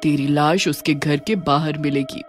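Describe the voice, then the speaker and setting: A man's voice: a little high, steady tone, unhurried, close to an everyday voice. A man voicing a vengeful, menacing female witch with a heavy voice in a horror story, music behind. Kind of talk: animated horror story dialogue.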